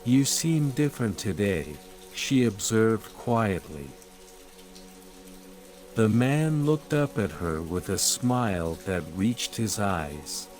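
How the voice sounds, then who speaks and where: A man narrating a sleep story in a calm, measured, soothing way, as scripted bedtime storytelling. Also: on the low side. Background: calm music and rain sounds.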